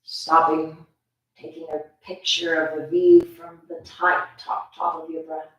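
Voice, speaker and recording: A meditation teacher guiding listeners gently and soothingly, with drawn-out phrases and pauses. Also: mid-range voice, little pitch movement. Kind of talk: guided meditation instruction. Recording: very echoey room, distant from mic.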